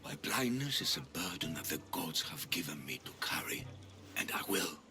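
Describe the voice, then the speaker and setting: A man playing a gentle, wistful old blind Persian beggar in a video game. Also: low voice, very animated, normal speed, full character voice. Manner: storytelling, courteous, wistful. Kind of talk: video game cutscene acting.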